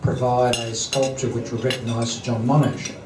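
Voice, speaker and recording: A man introduces a guest speaker at a lunch, stumbling through ums and clearing his throat often. He sounds distant in a roomy dining hall. Cutlery and plates clatter clearly behind him. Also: mid-range voice, little pitch movement, moderate pace, noisy background. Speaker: event host introducing a speaker. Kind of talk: halting formal introduction at a lunch.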